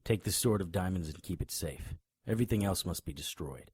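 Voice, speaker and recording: An actor playing a tough, wisecracking monster hunter in an audio drama, grim and cocky, with a husky voice. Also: male voice, deep voice, expressive, brisk, darkish tone, no room sound, heavily acted. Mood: grave, urgent. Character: hard-boiled male monster hunter, grim, cocky, threatening. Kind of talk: audio drama acting with noir first-person narration.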